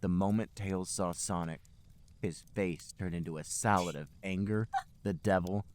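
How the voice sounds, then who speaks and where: A man co-hosting a podcast, narrating a story and chatting casually in a deadpan manner. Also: low-pitched, moderate pace, a little hiss or hum. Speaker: podcast co-host and reader.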